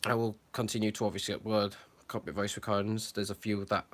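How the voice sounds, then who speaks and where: A man with a fairly low voice talks off the cuff about a matter that worries him. He stops and restarts often, filling gaps with ums. His tone stays earnest and concerned. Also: little pitch movement, quick pace. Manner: hesitant, many fillers and restarts. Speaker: channel host. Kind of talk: unscripted commentary to camera.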